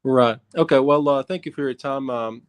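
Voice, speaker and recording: A man with a medium-pitched voice speaks as a caller to a show. He asks follow-up questions tentatively and politely, in a conversational style. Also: normal speed, clean tone. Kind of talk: tentative questioning over a call.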